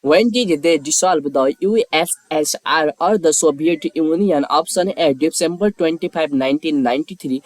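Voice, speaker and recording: A man's voice: fairly high pitch, normal speed, few pauses, dry recording, low-quality recording. A man reading scripted quiz questions and lettered answer options as a narrator.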